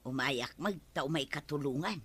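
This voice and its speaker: An actress playing an exasperated farmer's wife in an Ilocano radio comedy drama, with an Ilocano accent. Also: female voice, low voice, normal speed, fairly bright tone, husky. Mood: earnest.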